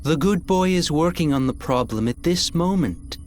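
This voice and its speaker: An expedition leader in a sci-fi game. She is composed and authoritative, with a widely varied intonation. Faint music plays behind her measured speech. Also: low-pitched, lively intonation, darkish tone. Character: composed expedition leader taking charge of a crew. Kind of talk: video game cutscene acting.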